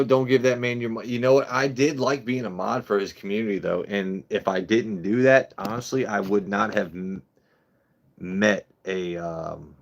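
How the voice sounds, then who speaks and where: Blunt guy in his 30s, lowish voice, swears a lot, rambles on his livestream. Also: creaky, highs cut off. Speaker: whiskey channel host. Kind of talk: casual livestream chat.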